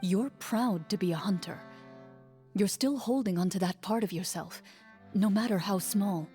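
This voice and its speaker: A woman acts as a noble swordswoman from a fantasy game, calm and firm. Her voice sits at a middling pitch, measured and composed, with music underneath. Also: natural voice. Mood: earnest, firm. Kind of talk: scripted fantasy game dialogue.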